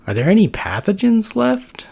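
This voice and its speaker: A man's voice: sits high, huge pitch swings, moderate pace, vocal fry, darkish tone, breathy edge, pitched above the performer's own voice, full character voice. A man doing a goofy laid-back macrophage dude. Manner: easygoing, slangy drawl. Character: hungry dim-witted male macrophage cell, laid-back.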